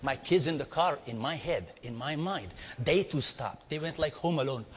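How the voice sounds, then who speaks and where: A man's voice: a little high, sing-song, a little slow, a little hiss or hum. A middle-aged man with an Indian accent tells a personal story to a live audience, acting out his exclamations and putting questions to the listeners. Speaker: speaker teaching storytelling. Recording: long reverb of a hall.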